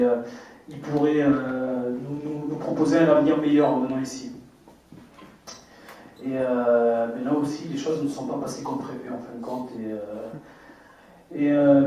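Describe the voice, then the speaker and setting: A man's voice: on the high side, dark tone, slight background noise. A man in his twenties from France, speaking earnestly at a public debate, hesitant and full of fillers and restarts. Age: 20s. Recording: echoey hall, distant mic, dull sound.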